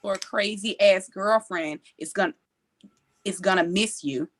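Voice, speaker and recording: A woman playing a brash, foul-mouthed, scheming tough criminal at a script table read, blunt and sassy, with a gravelly voice. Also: medium pitch, a little slow, natural voice. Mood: threatening.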